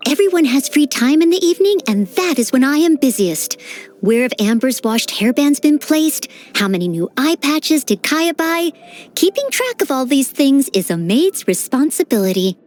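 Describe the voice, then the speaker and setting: Game role: teenage maid knight. High, very animated delivery, courteous, earnest, eager to serve. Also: female voice, normal speed. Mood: gentle, dutiful. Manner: polite, formal, earnest, dutiful. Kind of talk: video game character voice lines. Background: background music.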